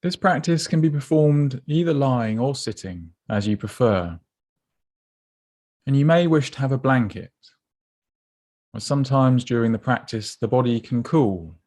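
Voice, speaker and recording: A man with a mid-pitched voice guides a body scan meditation. He speaks gently and without hurry, giving soft instructions and leaving space between his phrases. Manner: gentle, unhurried, instructive, with pauses.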